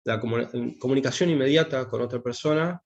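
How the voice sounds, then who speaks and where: A man, talking at a middle pitch as he gives an online class. He hesitates often and restarts his sentences. The sound is dull and lo-fi, like video call audio. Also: fairly quick, creaky. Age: 50s. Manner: hesitant, many fillers and restarts. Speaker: taekwondo instructor teaching English.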